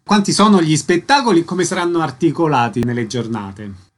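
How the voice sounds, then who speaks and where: Male radio interviewer, higher-set voice, presenting cultural listings and putting questions to guests. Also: a little fast. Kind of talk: radio interview, announcing event details.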